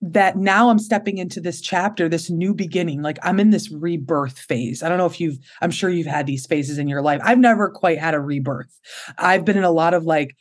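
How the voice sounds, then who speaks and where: Woman, 30s, low voice; expressive, candid interview guest who laughs easily. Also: a little fast. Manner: expressive, open, laughs often. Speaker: podcast guest.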